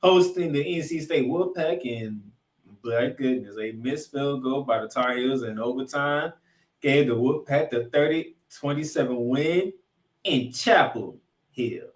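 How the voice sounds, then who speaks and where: A man hosting a sports podcast, recapping games in an animated, emphatic showman's delivery with dramatic announcements. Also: mid-range voice, a little slow. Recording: echoey room, distant from mic.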